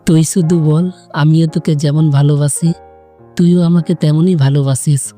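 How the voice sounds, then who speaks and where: A man's voice: on the high side, fairly even intonation, moderate pace, warm tone, faint music behind, natural voice. A man playing a brooding, jealous young village man in love in an audio romance drama, restrained and sullen. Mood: tender, teasing.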